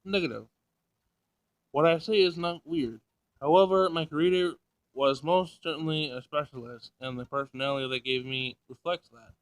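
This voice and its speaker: A man's voice: a little high, moderate pace, clear, resonant tone, no room sound, lightly acted, low-quality recording. Visual novel android, read by a man: female machine, literal-minded, formal and emotionless in delivery. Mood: flatly corrective. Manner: pedantic, clinical, formal wording.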